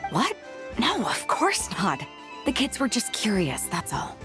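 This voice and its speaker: A woman's voice: on the high side, wildly swooping pitch, unhurried. A woman playing a breezy, confident battleship captain with a teasing, informal manner in a video game. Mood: friendly, casual. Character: breezy, confident young female battleship captain hawking weapons. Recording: music behind the voice.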